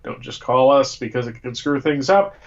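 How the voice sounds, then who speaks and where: An American man co-hosts a casual live fan chat with a stuffed-up, congested voice. His pitch is medium, and the audio is dull and lo-fi. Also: lively intonation, normal speed. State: congested with a cold. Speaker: co-host.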